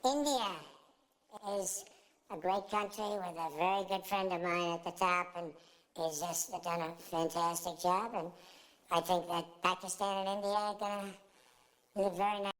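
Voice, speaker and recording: A woman's voice: lowish voice, fairly even intonation, normal speed, low-quality recording. A woman's voice, processed by a voice changer, giving public remarks.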